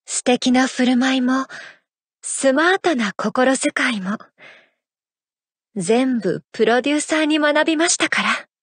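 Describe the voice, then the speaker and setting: Graceful, affectionate idol heroine; high, unhurried delivery, close and dry. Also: female voice, breathy edge, no room sound, exaggerated voice. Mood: tender. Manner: soft, intimate, affectionate lines. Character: graceful, playful young adult female idol. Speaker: idol character in a mobile game. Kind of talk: game character voice lines. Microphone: studio mic.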